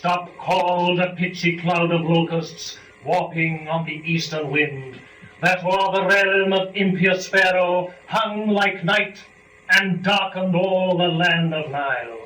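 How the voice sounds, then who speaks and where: A man with a fairly high-pitched voice speaks with formal, deliberate articulation, like a presenter of poetry. He is picked up from a distance in an echoey room, so the words ring around him. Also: pushed and bright. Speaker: performer and lecturer. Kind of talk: dramatic poetry reading and introductory lecture. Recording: echoey room, distant mic, dull, noisy.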